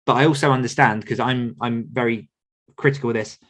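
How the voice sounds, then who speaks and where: A man's voice: medium pitch, steady tone, brisk, a bit bright. Chatty man on a candid podcast. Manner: candid, chatty, laughs often. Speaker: podcast host and author coach. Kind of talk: casual podcast chat.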